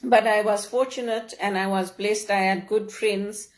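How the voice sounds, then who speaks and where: A middle-aged woman from Zimbabwe, speaking in an earnest, measured and heartfelt way in a personal reflective monologue. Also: mid-range voice, steady tone. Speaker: leadership vlogger.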